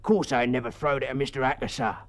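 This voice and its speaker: A man's voice: mid-range voice, fairly even intonation, fast, close to an everyday voice. A man voicing an ancient English school porter with a working-class dialect, stubborn yet deferential, rambling and plain-spoken in an audiobook story. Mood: matter-of-fact.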